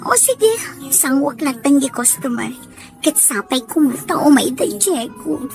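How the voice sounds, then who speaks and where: A woman's voice: high-pitched, animated, unhurried, faint music behind. A woman acting a lovestruck young woman pining for a man in an audio drama. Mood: lovesick. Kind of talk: acted dialogue in an audio drama.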